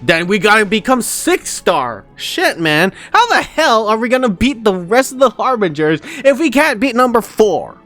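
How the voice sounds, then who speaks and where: Expressive man reacting, animated pitch. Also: normal speed, few pauses, faint music behind. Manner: expressive, repeats phrases, swears. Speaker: gaming reaction streamer. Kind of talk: casual live reaction commentary.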